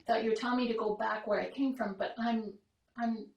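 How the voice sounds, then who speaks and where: A woman's voice: on the high side, fairly even intonation, a little fast, creaky, darkish tone, roomy sound, rough recording. A woman hosting a podcast, talking in a rambling, reflective way with many fillers and restarts. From Romania. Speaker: podcast host. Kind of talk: casual conversation.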